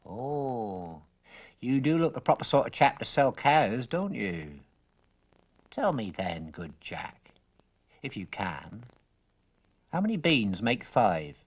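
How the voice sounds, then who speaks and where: A storyteller voicing a very old, odd stranger man who talks in wordy, drawn-out persuasion, with a husky voice. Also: medium pitch, very animated, full character voice. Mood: wheedling.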